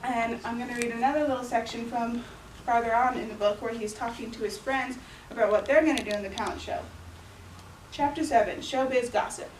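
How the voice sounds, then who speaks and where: A woman's voice: on the high side, fairly even intonation, brisk, slight background noise. A young woman giving a booktalk to a class of young children, reading story passages aloud and acting out the quoted lines. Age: young adult. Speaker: student librarian giving a booktalk. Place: elementary school classroom. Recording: echoey classroom from far off.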